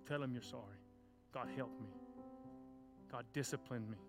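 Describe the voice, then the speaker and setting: A man's voice: medium pitch, moderate pace. Fervent preacher man over guitar. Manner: fervent shouting preacher, stomps and cries. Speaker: children's pastor. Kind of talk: sermon and closing prayer. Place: church sanctuary. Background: soft guitar music.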